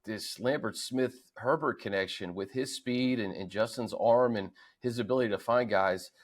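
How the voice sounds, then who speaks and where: A man's voice: mid-range voice, normal speed. An American man hosting a sports show with casual, conversational football commentary. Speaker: sports show host and analyst.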